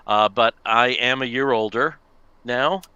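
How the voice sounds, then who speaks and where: A middle-aged man chatting as a podcast host, rambling through his stories with plenty of fillers. His voice is bright and crisp. The sound is dry and slightly dull, as from a home mic on a call. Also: medium pitch, unhurried, no echo. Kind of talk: casual chat.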